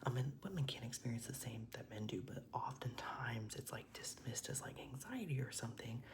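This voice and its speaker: A man's voice: medium pitch, lively intonation, a little fast. Young man whispering a rambling storytime. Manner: soft whisper, rambling with many "like"s and casual swearing. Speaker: vlogger. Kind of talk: whispered ASMR storytime while doing makeup.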